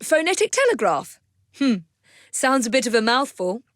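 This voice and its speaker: A poised young Englishwoman of Victorian London, speaks as a determined assassin. Her voice sits a little high, with crisp, composed phrasing and polite authority. The recording is very dry, in clean video game style. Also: sing-song, normal speed, no room sound. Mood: composed, wry. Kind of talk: scripted game voice acting.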